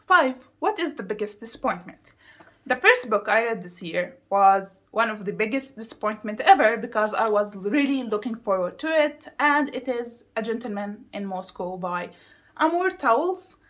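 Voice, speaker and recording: A woman with a somewhat high-pitched voice talks informally to her viewers in a reviewer's video monologue. She is emphatic, often repeating a word or phrase to stress her point. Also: animated, moderate pace, a bit bright. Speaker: book reviewer vlogger.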